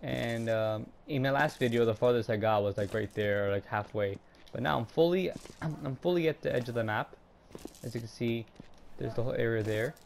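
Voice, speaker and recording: A young man narrating a gameplay walkthrough casually, hesitating a lot with ums and restarts, his throat a little raspy. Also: medium pitch, a little hiss or hum. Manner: halting, many ums and restarts. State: slightly raspy throat, clearing it. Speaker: gaming YouTuber.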